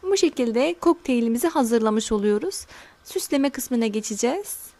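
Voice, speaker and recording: A middle-aged woman hosting a home cooking channel, calmly talking viewers through a recipe step by step and addressing them warmly as friends. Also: a little high. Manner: slow, careful instructions. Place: home kitchen counter.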